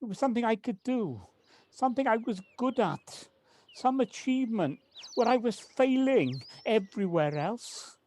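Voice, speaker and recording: A woman acting a remorseful older partner of forty years in an amateur audio drama, halting and confessional. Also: on the high side, expressive, a little mellow. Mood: remorseful, anguished. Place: at home, recorded remotely. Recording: faint noise, home personal-device recording.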